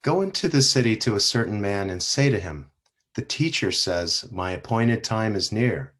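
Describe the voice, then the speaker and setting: A man reading the part of Jesus in a church passion play, solemn and measured. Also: lowish voice, animated, a bit bright. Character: Jesus, grave calm male teacher. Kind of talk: dramatic scripture reading. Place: church sanctuary.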